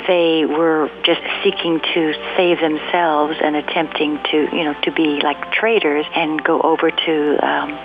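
A woman being interviewed as a researcher, explaining history off the cuff in measured phrases and searching for her words. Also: low voice, phone-quality sound. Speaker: interviewed researcher and radio drama writer.

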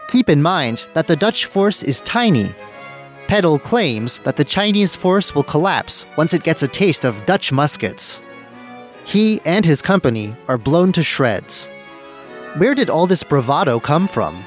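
Man narrating radio history, lively pitch swings. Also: unhurried, faint music behind.

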